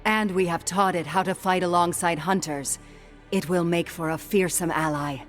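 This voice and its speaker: An actress playing a composed, authoritative leader of trackers in a fantasy game. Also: female voice, fairly low pitch, steady tone, moderate pace, soft background music, natural voice. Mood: assured. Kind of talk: dramatic fantasy game acting.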